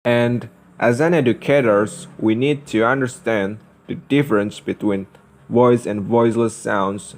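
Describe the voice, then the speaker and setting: A young man reads a scripted lesson as a student presenter, enunciating carefully and deliberately. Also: on the low side, darkish tone. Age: young adult.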